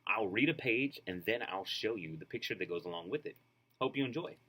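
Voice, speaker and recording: Animated, husky man reading a storybook. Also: lowish voice, brisk. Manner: expressive, rhythmic rhyme reading. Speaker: storybook reader. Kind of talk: animated children's read-aloud with casual asides.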